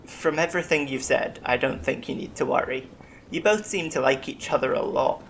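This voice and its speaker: Casting: actor as eccentric alien medic, sci-fi audio drama, gravelly edge, reflective and worldly, faint ambient noise behind. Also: mid-range voice, moderate pace, natural voice, poor audio. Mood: reassuring. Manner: reflective, wryly self-deprecating.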